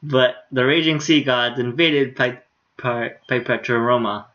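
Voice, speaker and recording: A man's voice: medium pitch, fairly even intonation, measured pace, a bit bright. Informal male streamer, slightly muffled sound. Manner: informal, amused. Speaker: game streamer. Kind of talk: reading game dialogue aloud with casual asides. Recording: slightly dull streaming audio.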